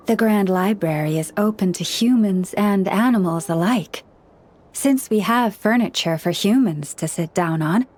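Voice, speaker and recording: A woman voicing a gentle, earnest young woman who counsels animals in a video game, speaking in a measured, formal way. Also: medium pitch, a little hiss or hum, natural voice. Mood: calm, pleased. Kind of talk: video game character dialogue. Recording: studio voice over background music.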